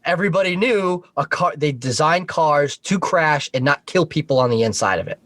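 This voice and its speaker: A man's voice: on the high side, animated, normal speed, fairly bright tone, dry recording. A man talking casually as a talk show guest, explaining things frankly and with some swearing. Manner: frank, profane. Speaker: guest on a talk show, Coast Guard member.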